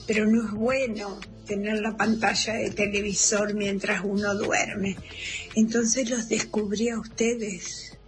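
An elderly Argentine woman in her eighties leaving a chatty, affectionate, unhurried personal message for a radio show. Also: medium pitch, little pitch movement, music in the background.

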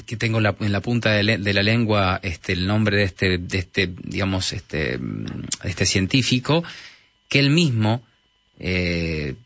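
Interview guest: man, mild Argentine accent, low voice, dry close sound, halting delivery full of fillers. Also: lively intonation, fairly bright tone, no echo. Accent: Argentine Spanish, mild. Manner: hesitant, many fillers and restarts. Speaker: technology guest. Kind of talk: conversational interview.

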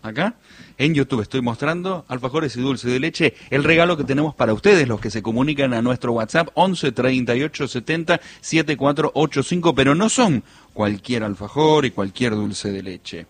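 An Argentine man co-hosts a radio show in a playful, joking way. His voice is husky and breathy, and he speaks at a middle pitch. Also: normal speed, dry recording. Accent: Argentine Rioplatense. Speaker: radio co-host.

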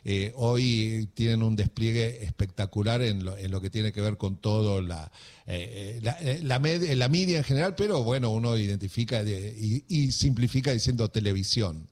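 Halting Argentine radio host. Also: male voice, lowish voice, a little mellow. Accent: Argentine Spanish. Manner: halting, full of fillers. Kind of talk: radio interview.

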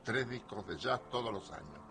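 A man's voice: mid-range voice, normal speed, noisy background. An older man with a Rioplatense Argentine accent, hosting a radio chat and telling rambling anecdotes that trail off mid-sentence. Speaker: radio host. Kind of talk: casual radio conversation.

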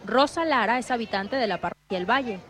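Woman, field reporter, news narration, even measured delivery, faint noise. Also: fairly high pitch.

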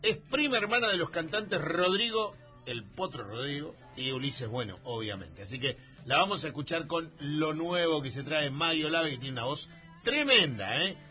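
Radio co-host, bright forceful voice, a bit high, chatty and loose, faint music underneath. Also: male voice, sing-song, normal speed. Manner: chatty, informal. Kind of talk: radio talk show.

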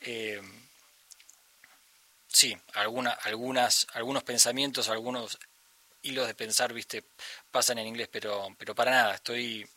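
A man's voice: mid-range voice, steady tone, a little slow, long pauses, bright, forceful tone, no echo, rough recording. A young man with an Argentine accent, a musician guest chatting in an interview, thinking aloud with many fillers and restarts. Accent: Argentine Spanish. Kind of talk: casual interview conversation.

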